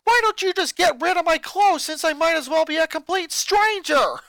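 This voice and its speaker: A woman voicing an angry, resentful young boy who rants and shouts at his family. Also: very high-pitched, lively intonation, normal speed, dry recording, voice pushed up, heavily acted. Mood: bitter. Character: furious boy, eleven-year-old, bitter and resentful.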